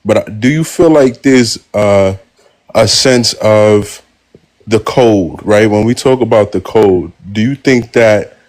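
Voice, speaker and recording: A man from Brooklyn, New York, calling in with a sore throat, speaking in a measured, hesitant, polite way. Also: fairly low pitch, a little mellow. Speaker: caller.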